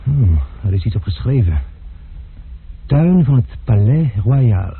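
An actor playing an impulsive, quick-tempered gentleman traveller in a radio play, full of exclamations. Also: medium pitch, breathy edge, full character voice. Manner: lively, exclaiming, blustery. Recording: muffled lo-fi archive radio play.

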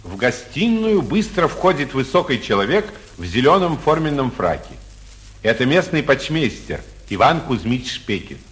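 A man's voice: mid-range voice, sing-song, moderate pace, a bit bright. A man giving scripted narration for a 1940s radio play.

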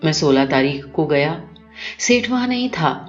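A woman's voice: deep voice, lively intonation. A woman reading a literary short story as scripted first-person audiobook narration. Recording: dull lo-fi recording with music behind. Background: clear music.

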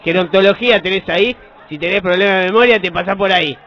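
A man playing a bossy, foul-mouthed office clerk with an Argentine accent, gruff and sardonic, in an improvised radio sketch. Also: sits high, quick pace. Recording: dull, muffled live radio recording.

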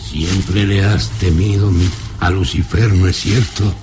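An actor playing a domineering, menacing baron with a mocking, commanding manner in a radio drama. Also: male voice, deep voice, fairly even intonation, noisy background. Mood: mocking, proud. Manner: slow taunting menace, commanding. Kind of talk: vintage radio drama acting.

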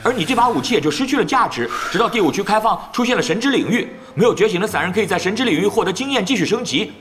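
Young chatterbox gamer, super high voice, insanely fast, audio drama. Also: male voice, animated, a bit bright, faint music behind. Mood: rapid explaining. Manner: rapid-fire chattering. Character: hyper chatterbox young male star gamer.

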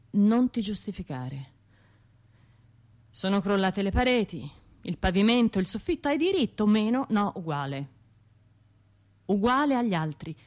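An actress voices a restless, self-doubting woman survivor with a Tuscan accent. She speaks in halting bursts, debating with herself in an intimate radio play monologue. Her medium-pitched voice moves in very lively, wide swings, full of anguish and guilt. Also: lightly acted. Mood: guilt-ridden, pleading. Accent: Tuscan Italian.

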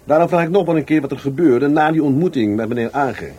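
An actor with a Netherlands Dutch accent playing a blunt, sardonic police adjutant who needles people, in a radio play. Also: male voice, on the high side, quick pace, warm tone, breathy edge, dull audio. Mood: wry, probing. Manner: sardonic, provoking.

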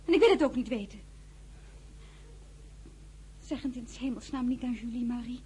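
A woman's voice: fairly high pitch, moderate pace, mellow, husky. An actress playing a general's impulsive, adoring young wife in an audio drama, emotional and girlish. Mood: indignant.

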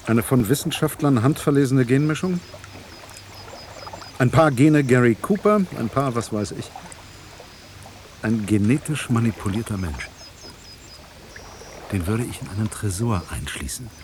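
An actor playing a frustrated, skeptical investigative journalist in a German radio play, speaking in probing, clipped questions. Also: male voice, mid-range voice, animated, unhurried, long pauses, darkish tone, a little hiss or hum, lightly acted. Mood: guarded, skeptical.

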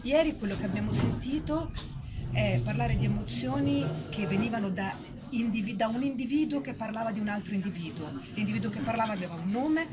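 A woman's voice: on the high side, fairly quick. A woman in spontaneous reflective discussion, emphatic, repeating short phrases as she searches for words. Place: public festival discussion, noisy surroundings. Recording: very noisy live event recording.

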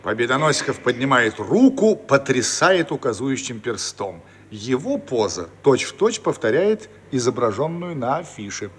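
Animated male radio play narrator. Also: medium pitch, moderate pace, few pauses, breathy edge. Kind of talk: scripted narration of stage directions. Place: studio radio production.

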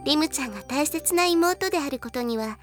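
A woman playing a gentle, caring older-sister girl in an anime audio drama, speaking softly and politely. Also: sits high, lively intonation, a little fast. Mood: gentle, reflective. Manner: soft, polite, formal speech. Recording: music bed under the voice. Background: music.

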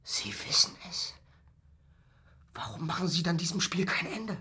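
A man's voice: a little high, sing-song, unhurried, sharp tone, whispered, heavily acted. An actor in a German radio play playing a passionate young man in love, intense, wounded and pleading. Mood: agitated, pleading. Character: passionate young male lover, desperate and wounded.

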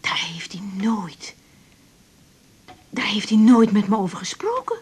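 Frank young woman doctor with dark husky voice. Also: mid-range voice, sing-song, measured pace, heavily acted. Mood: taken aback. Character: young female doctor, brisk, frank and caring. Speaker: actor in a radio drama. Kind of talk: naturalistic 1980s radio drama acting.

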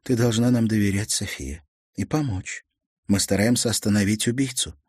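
A man voicing a young policewoman who questions a girl calmly and gently. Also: on the low side, normal speed, slightly rough, no echo, studio quality, pitched above the performer's own voice. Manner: calm, coaxing questions.

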